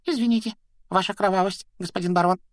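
A man's voice: high voice, big pitch swings, unhurried, no echo, clean studio sound, voice pushed up. A man voicing a spiteful, cowardly little poltergeist prankster in a whining, theatrical, obsequious storybook manner. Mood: frightened, fawning.

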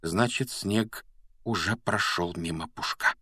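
A man voicing a calm, resolute schoolboy hero in a quiet, steady storybook manner. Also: deep voice, takes it slowly, husky, dry recording, heavily acted.

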